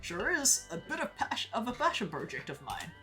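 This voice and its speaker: A woman's voice: medium pitch, very animated, normal speed, pushed and bright, soft background music. A woman voicing a friendly older male carpenter who talks in a homespun drawl, with "howdy" and "ain't". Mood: folksy.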